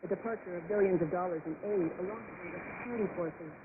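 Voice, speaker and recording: A woman's voice: fairly low pitch, fast, phone-quality sound. A woman reporter reading scripted documentary narration for a radio news programme, heard over shortwave. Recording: noisy band-limited shortwave radio broadcast. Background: heavy shortwave static.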